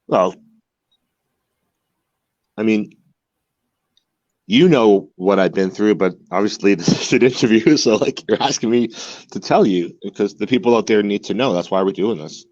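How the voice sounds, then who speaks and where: A man's voice: fairly low pitch, moderate pace, long pauses, darkish tone, no room sound, highs cut off. A man in his forties, a guest in a candid interview, talking earnestly and repeating words as he searches for them. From United States. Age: 40s. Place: home in Mexico.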